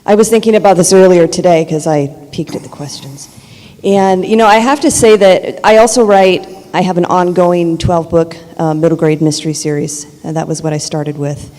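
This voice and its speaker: A woman's voice: fairly low pitch, fairly even intonation, normal speed, warm tone. A middle-aged woman speaking on a conference panel, earnest, with many ums. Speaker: panelist author. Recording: echoey hall with long reverb.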